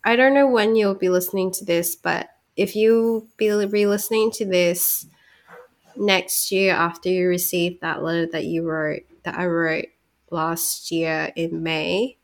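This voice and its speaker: Woman podcast co-host, Cantonese native speaker, lower register, chatty and reflective with ready laughter. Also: fairly even intonation, unhurried. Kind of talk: casual podcast chat.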